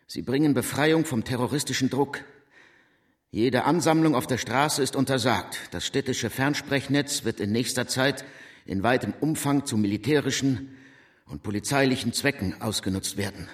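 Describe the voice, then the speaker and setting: Stern mid-pitched military man played by an actor. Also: moderate pace, lightly acted. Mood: stern, official. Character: stern male military officer reading a proclamation. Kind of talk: German radio drama acting.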